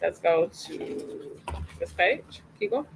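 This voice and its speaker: A woman's voice: on the low side, animated, takes it slowly, gravelly, a little hiss or hum, rough recording. A woman hosting an online story time, recapping each page in a casual, encouraging way. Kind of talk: casual read-aloud with commentary.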